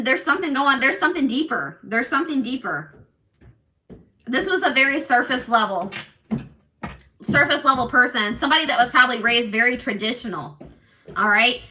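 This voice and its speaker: A young woman reading tarot to her viewers, talking loudly and assertively, with many restarts and fillers. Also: fairly high pitch, poor audio. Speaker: tarot reader.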